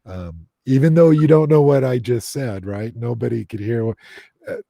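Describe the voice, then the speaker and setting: Gravelly, mellow-voiced male host. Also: mid-range voice, normal speed, no echo. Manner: talks to the chat audience, frequent fillers. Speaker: paranormal investigator host. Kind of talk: casual livestream chat.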